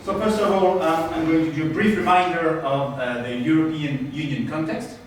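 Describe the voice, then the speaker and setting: A man interpreting a speech into English, speaking quickly and plainly with a few fillers. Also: a little high, steady tone, slight background noise. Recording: echoey room from far off.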